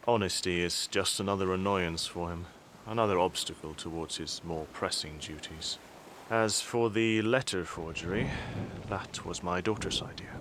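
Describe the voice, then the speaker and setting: Man playing a low-voiced, sardonic elder statesman. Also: fairly bright tone, slight background noise. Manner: measured, formal, dry wit. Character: composed, sardonic father and statesman, dryly unbothered. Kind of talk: audio drama acting.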